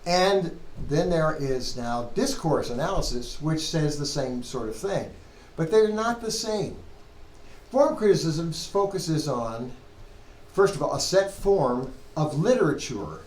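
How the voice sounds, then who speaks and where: A man's voice: on the high side, big pitch swings, takes it slowly, darkish tone. A man lecturing informally to a class in a conversational way, posing questions to himself and correcting his own phrasing as he goes. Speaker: seminary Hebrew lecturer. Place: classroom with students. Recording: roomy echo, clear background noise.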